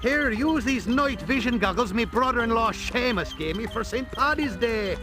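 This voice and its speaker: A man's voice: very high-pitched, expressive, moderate pace. A man voicing a chirpy, folksy Irish mine-dwelling character in a cartoon video game, with a lilting way of talking. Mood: helpful, chatty. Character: chirpy Irish leprechaun-like mine owner, male. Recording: loud music behind the voice. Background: game music.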